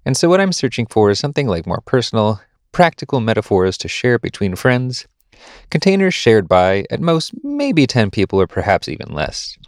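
A man's voice: mid-range voice, wildly swooping pitch, moderate pace, no echo, studio quality. A man presenting a podcast, reading a scripted essay and news items aloud.

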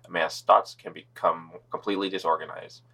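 A man with a very low, gravelly voice co-hosts a podcast news roundup. He reads the summaries and quotes in a laid-back, sardonic way. Vocal fry runs through his delivery. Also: fairly even intonation, fairly quick, bright, forceful tone. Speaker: podcast co-host reading news.

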